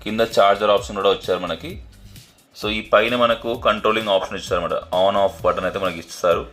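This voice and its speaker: A man's voice: fairly low pitch, fairly even intonation, fast, fairly bright tone, faint music behind. A man narrates a casual unboxing and demo as the host, explaining in a repetitive way and mixing in English terms. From India. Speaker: product review host.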